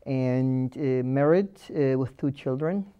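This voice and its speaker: A man's voice: mid-range voice, takes it slowly, clean tone. A middle-aged man from Turkey, an academic, introducing himself carefully with hesitations. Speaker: marketing academic. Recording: dull lo-fi sound.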